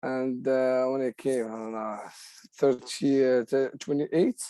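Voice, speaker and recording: A man's voice: mid-range voice, fairly even intonation, slow, mellow, smooth, no echo. A man in his forties from Morocco, an adult English student speaking hesitantly with many fillers and restarts. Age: 40s. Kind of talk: casual conversation.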